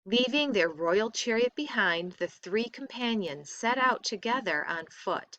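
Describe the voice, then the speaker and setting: Bright-voiced woman reading a story aloud. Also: a little high, lively intonation, a little slow, dry recording, muffled recording. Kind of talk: expressive story read-aloud.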